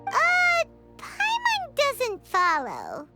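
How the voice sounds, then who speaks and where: A woman's voice: very high-pitched, huge pitch swings, very slow, loud music behind the voice, full character voice. A woman voicing a tiny, perky, cheeky childlike fairy companion in an anime game, exclamatory in manner. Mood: confused. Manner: speaks of self in third person, exclamatory. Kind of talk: anime game character acting.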